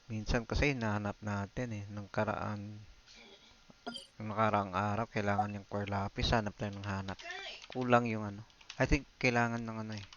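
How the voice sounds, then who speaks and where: Low-voiced Filipino man streaming a game. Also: flat delivery, fairly quick, fairly bright tone, dull audio, slight background noise. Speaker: game livestreamer. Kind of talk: casual live gameplay commentary. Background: game music.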